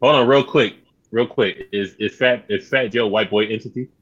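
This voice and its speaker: A man's voice: lowish voice, moderate pace, fairly bright tone, dull audio. A man co-hosting a casual podcast, teasing the others and repeating his lines over and over. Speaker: podcast co-host. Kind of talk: casual group banter.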